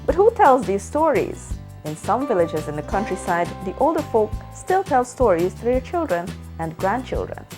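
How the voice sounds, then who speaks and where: A woman reading scripted feature narration in a measured way, rising on her questions. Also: medium pitch. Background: music bed.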